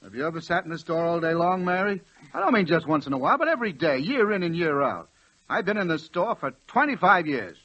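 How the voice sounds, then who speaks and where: A meek, dreamy druggist in his late forties speaks in a highish, very bright voice. He stammers and hesitates, then swells with fervor. It is 1940s radio acting on a dull old recording. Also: big pitch swings, fast talker. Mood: wistful, frustrated. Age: late 40s. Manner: hesitant, stammering, turning impassioned. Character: meek, dreamy middle-aged druggist obsessed with trains.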